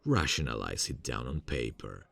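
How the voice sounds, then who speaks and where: A man as a gravelly-voiced secretly murderous student. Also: a bass voice, animated, normal speed, no echo, heavily acted. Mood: falsely friendly. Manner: soft, hesitant, full of uh fillers, quietly insinuating. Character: friendly male college student, secretly a serial killer. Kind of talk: ASMR roleplay.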